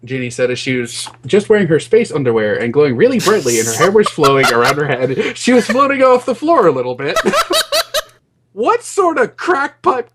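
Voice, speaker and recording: A man's voice: fairly high pitch, very animated, moderate pace, noisy background. A man co-hosting a podcast, joking and reading fan fiction aloud with amused mock dismay. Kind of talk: joking banter and read-aloud.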